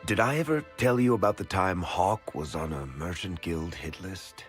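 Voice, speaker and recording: An actor playing a wry, streetwise rogue who tells stories conversationally in a game cutscene. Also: male voice, deep voice, normal speed, soft background music, natural voice. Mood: wry, amused. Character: roguish storytelling man, wry, fond, streetwise.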